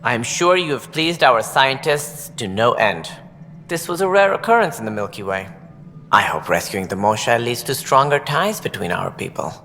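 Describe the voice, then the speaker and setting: An actor playing an earnest male colony director in a video game, speaking deliberately about hard decisions. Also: mid-range voice, animated, gravelly, bright, forceful tone, big-room sound, slight background noise.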